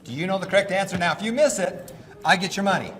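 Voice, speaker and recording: A man presenting a live seminar, joking with the audience and calling up volunteers in an energetic way. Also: sits high, fast talker. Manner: energetic, joking, drives the crowd. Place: large hall with live audience. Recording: echoey hall with crowd noise.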